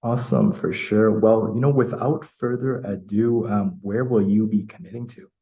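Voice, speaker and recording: A man interviewing a young athlete, asking questions in a relaxed, friendly way. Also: on the low side, moderate pace.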